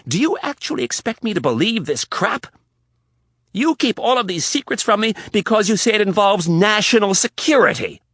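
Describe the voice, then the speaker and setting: Audiobook: man doing a shrill, sarcastic young fiancée, husky and dry. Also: huge pitch swings, unhurried, few pauses, dry recording, full character voice. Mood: furious. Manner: shouting, biting, sarcastic. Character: furious young woman, jealous fiancée. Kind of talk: audiobook character voice.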